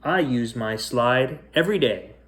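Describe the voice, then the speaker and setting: A man voicing an excitable, curious little girl from a children's storybook, with an earnest, exclaiming delivery. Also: mid-range voice, a little slow. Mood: protesting. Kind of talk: children's storybook character reading.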